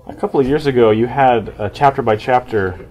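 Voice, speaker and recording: A man's voice: medium pitch, a little fast, a little mellow, low-quality recording. A man in an audience, casually asking a question. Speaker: audience member.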